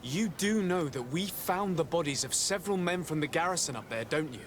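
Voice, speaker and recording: A man's voice: fairly high pitch, animated, brisk, a little hiss or hum. An actor playing a formal, determined young nobleman and envoy in a video game cutscene, speaking with probing authority. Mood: suspicious, accusing.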